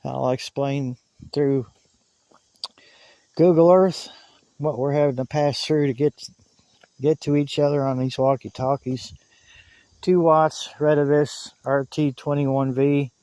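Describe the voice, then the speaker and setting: A man's voice: on the high side, fairly even intonation, slow, pauses often, warm tone, dry recording, low-quality recording. A man hosting a gear review, talking casually and in folksy, colloquial phrasing to camera and over a two-way radio. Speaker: video host reviewing walkie-talkies. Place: outdoors on a hill.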